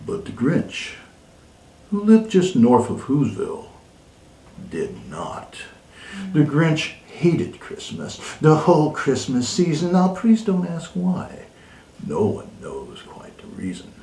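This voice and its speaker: A man's voice: mid-range voice, wildly swooping pitch, slow, gravelly, warm tone. A man giving a dramatic storybook reading with theatrical, exaggerated emphasis. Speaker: co-host and story reader. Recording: roomy echo, distant mic.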